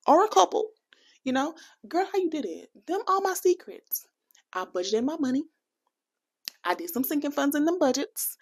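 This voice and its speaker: Animated, laughing American woman vlogger. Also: high voice, husky. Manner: animated, laughing, playful asides to viewers; slips into a Jamaican accent for bits. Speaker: budgeting vlogger. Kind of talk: casual chatty vlog.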